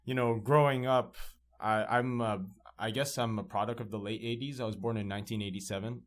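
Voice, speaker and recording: A man's voice: mid-range voice, steady tone, brisk. A man in his thirties hosting a casual podcast chat from a library recording studio, upbeat and rambling with restarts. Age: 30s. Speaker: podcast host.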